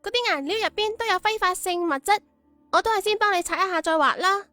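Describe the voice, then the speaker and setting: Very high, bright, forward young woman, acted. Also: fast, no echo. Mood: concerned. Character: forward, fussing young woman, caring and blunt. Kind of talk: audiobook character dialogue. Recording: music behind the voice.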